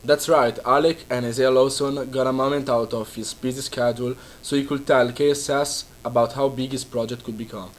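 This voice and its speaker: A man's voice: medium pitch, steady tone, a little fast, few pauses. A teenage boy co-hosting a student news show, reading an upbeat script.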